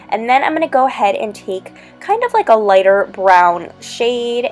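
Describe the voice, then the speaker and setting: Young woman talking thru her makeup steps, kinda sniffly, music playing loud underneath. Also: mid-range voice. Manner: relaxed, chatty step-by-step explaining. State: slightly sick, sniffling. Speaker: beauty vlogger. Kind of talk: makeup tutorial voice-over. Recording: voice-over with loud background music.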